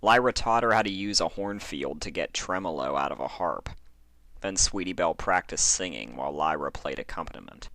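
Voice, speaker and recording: A man reading a horror story as a dramatic narrator, phrasing deliberately with pauses for effect. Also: low-pitched, lively intonation, pushed and bright, dry recording. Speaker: fan fiction narrator.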